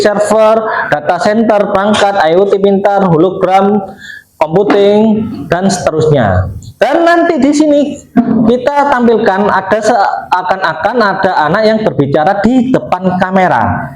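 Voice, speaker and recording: A male teacher lectures his class, walking through each step and posing questions he then answers himself. His voice sits on the higher side, and the room around him rings with echo. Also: a little mellow, a little hiss or hum. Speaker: vocational school teacher. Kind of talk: classroom lecture with screen demonstration. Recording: roomy echo.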